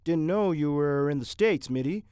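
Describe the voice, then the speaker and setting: A grumbling, pompous specialist doctor, as a man reading the story puts him on. His voice sits somewhat higher and louder than the reader's own. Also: expressive, moderate pace, clear, resonant tone, no echo, studio quality, close to an everyday voice.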